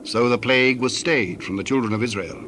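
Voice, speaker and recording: Measured male Bible narrator over faint ambience. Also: medium pitch, noisy background. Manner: measured, formal delivery.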